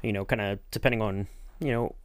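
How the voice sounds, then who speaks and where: A man's voice: lowish voice, fairly even intonation, quick pace. A man co-hosting a podcast, interviewing in a casual, agreeable, rambling way. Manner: rambling, agreeable, hedging with "kind of". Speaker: podcast co-host acting as interviewer.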